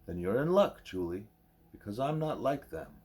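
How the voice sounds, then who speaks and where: A man reading the part of a wise, patient gorilla teacher in an audiobook, speaking in formal, measured, probing sentences. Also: medium pitch, sing-song, a little mellow, breathy edge. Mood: patient, instructive.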